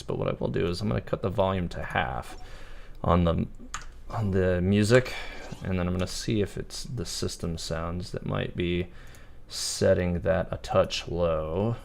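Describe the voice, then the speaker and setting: A man's voice: sits low, vocal fry. A man chatting casually on a live game stream, thinking aloud and explaining as he goes.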